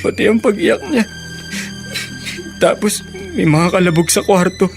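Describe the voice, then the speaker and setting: Casting: anxious young boy for radio drama, high voice, slow polite delivery, music behind. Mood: worried, subdued. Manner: halting, polite. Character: worried young boy, obedient grandson. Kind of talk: Tagalog radio drama acting.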